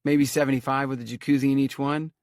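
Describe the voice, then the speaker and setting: Man voicing an eager, higher-pitched young boy. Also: little pitch movement, quick pace, dry recording, clean studio sound, natural voice. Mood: eager, excited. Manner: eager, exclaiming. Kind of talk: audiobook character voice.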